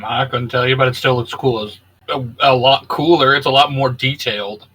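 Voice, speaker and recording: A man's voice: mid-range voice, steady tone, moderate pace, sharp tone, breathy edge, poor audio. A man co-hosting a casual chat, chipping in with short, informal reactions and opinions. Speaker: co-host.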